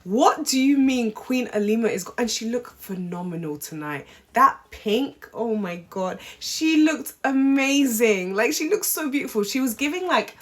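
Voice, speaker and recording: A woman, casually recapping a dating show with sassy, animated opinions. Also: mid-range voice. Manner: animated, sassy, mimics cast members. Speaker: reality show recap vlogger.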